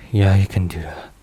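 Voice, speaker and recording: A man acts out a weary mafia boss looking after a partner in an intimate ASMR roleplay. His voice is very low and he takes his time, hushed and protective, with sighs between phrases. A faint wash of rain sits behind him. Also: a little hiss or hum. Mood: relaxed, caring.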